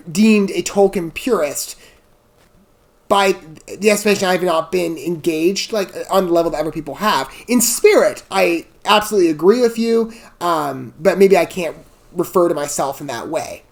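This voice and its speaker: A woman hosting a reaction channel, giving casual, rambling commentary in a chatty, digressive way with apologetic asides. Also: on the low side. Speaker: host of a video game trailer reaction channel.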